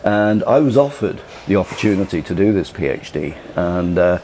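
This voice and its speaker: An older man from England, recalling his career in a relaxed, rambling way. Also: low-pitched, moderate pace, a little hiss or hum. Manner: relaxed, rambling, frequent "you know" and restarts. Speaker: interviewee. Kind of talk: casual recollection.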